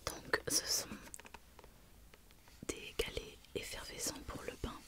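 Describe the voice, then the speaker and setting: Whispering woman with a cold. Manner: soft whisper throughout, unhurried with many fillers. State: has a head cold. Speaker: ASMR creator. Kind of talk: whispered ASMR show-and-tell.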